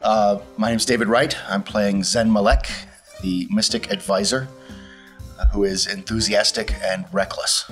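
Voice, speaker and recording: A man's voice: fairly low pitch, fairly even intonation, a little slow, fairly bright tone, faint music behind. A man, a tabletop game player chatting casually and feeling his way through his sentences. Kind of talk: casual chat.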